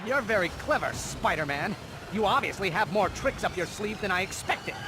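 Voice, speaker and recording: A man's voice: high-pitched, lively intonation, normal speed, noisy background. An actor playing a boastful, theatrical showman villain with a grudge in a video game. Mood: grandiose, taunting. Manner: pompous, theatrical.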